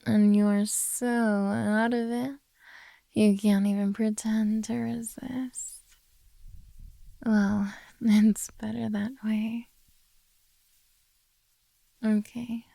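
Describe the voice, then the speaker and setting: A woman playing a possessive, teasing, motherly beast-girl captor in an intimate ASMR roleplay, speaking softly in a close-up murmur that slips into whispers. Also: medium pitch, little pitch movement, vocal fry, no room sound. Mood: smug, tender. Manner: slow, soft teasing murmur slipping into whispers. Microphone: close ASMR microphone.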